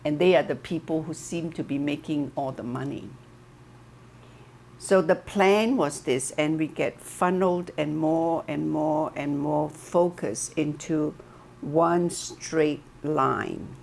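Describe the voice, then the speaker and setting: Slow, reflective Malaysian-raised woman speaker. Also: low-pitched, creaky, a little hiss or hum. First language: Chinese. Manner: reflective storytelling, lively emphasis on key words. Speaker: wellness speaker. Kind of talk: informal talk on a video call. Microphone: video call.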